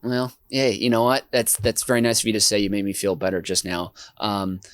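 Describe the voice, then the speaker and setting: A man's voice: lowish voice, level tone, fairly quick, a bit bright, dry recording. A man hosting a comedy podcast, asking questions with playful, mock-earnest teasing. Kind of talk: comedic casual chat.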